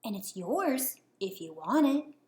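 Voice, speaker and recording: A woman voicing a mysterious male wizard who talks in a low, hushed, knowing way. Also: big pitch swings, takes it slowly, roomy sound.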